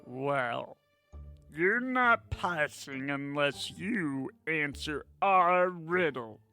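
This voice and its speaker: A man's voice: on the high side, animated, very slow, pushed and bright, clear, resonant tone, faint music behind, pitched above the performer's own voice, exaggerated voice. A man voicing a big, angry, suspicious slime ball in a comic storybook, bellowing in a squelchy, menacing way. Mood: suspicious menace.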